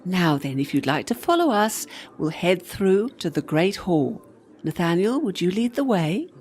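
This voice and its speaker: A woman's voice: lowish voice, sing-song, unhurried, darkish tone. An actress playing the ghost of a serving girl in a radio play, practical and teasing, with a dry, matter-of-fact manner. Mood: bright, organising.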